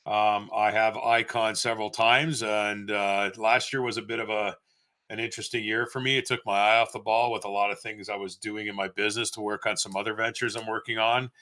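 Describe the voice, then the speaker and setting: A man's voice: lowish voice, bright, forceful tone. A Canadian man speaking on a panel, confident and upbeat.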